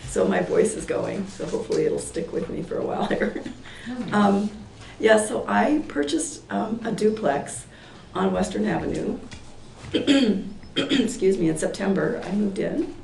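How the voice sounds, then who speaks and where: Hoarse gravelly woman in her sixties. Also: medium pitch, animated, unhurried, a little mellow. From Portland, Oregon, US. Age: 60s. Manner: rambling, many fillers and restarts, laughs lightly. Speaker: applicant at a public hearing. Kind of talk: informal testimony at a town meeting. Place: town meeting room. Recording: echoey hall, far from the mic, faint noise.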